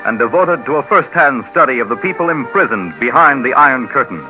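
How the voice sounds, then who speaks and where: A man announcing formally on 1950s radio in a crisp, declamatory manner. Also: medium pitch, animated, fairly quick, music in the background, poor audio.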